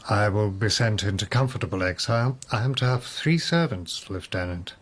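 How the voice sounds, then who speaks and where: A man's voice: lowish voice, normal speed, a bit bright, breathy edge. An actor in a radio drama playing a mad, doomed nobleman in a rambling, wry, reflective monologue. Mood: wry, resigned. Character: mad, doomed nobleman musing on his death.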